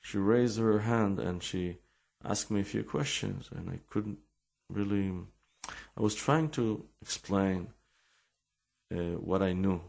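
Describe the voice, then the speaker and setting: A man with an Israeli accent giving an informal religious talk with personal stories, halting and repeating words as he searches for English. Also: on the low side, creaky, darkish tone.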